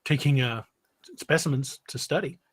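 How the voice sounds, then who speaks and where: A man with a husky voice chatting casually as a podcast host in a panel discussion. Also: mid-range voice, moderate pace.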